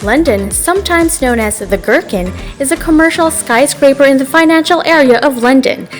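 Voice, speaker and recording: A woman reading scripted, documentary-style narration in a formal, evenly read explainer manner. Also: a little high.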